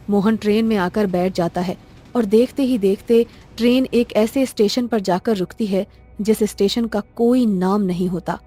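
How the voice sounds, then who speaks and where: A woman's voice: mid-range voice, warm tone, breathy edge. A woman storyteller reading scripted narration for a cartoon tale. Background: faint music.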